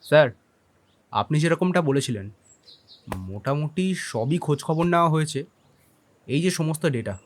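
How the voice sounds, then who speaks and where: An actor playing a brisk, respectful secretary in his twenties in an audio drama, reporting deferentially. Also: a little high, animated, slight background noise, natural voice. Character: brisk, eager, respectful young male secretary. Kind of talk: audio detective drama acting.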